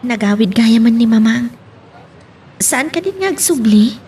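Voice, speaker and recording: A woman's voice: on the high side, lively intonation, a little slow, dark tone, a little hiss or hum. A woman playing a grateful young daughter who is recovering, in an audio drama.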